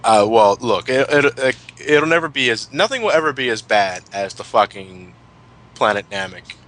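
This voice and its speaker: Animated, bright-voiced man ranting casually. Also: mid-range voice, moderate pace. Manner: ranting, profane, emphatic. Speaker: commentary show host. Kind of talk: casual fan discussion.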